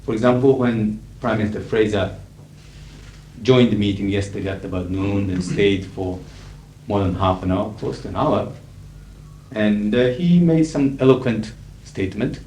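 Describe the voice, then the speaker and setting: A middle-aged man with a Japanese accent, a native Japanese speaker, lecturing as an interpreter in a measured, emphatic way with frequent fillers. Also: lowish voice, a little mellow. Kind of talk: lecture to an audience. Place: lecture hall. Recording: echoey 1980s hall recording with faint hiss.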